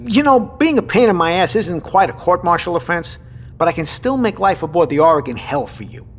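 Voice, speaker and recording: An actor playing a gruff, impatient military commander with dry sarcasm in a naturalistic audio drama. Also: male voice, high voice, expressive, a little fast. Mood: irritated.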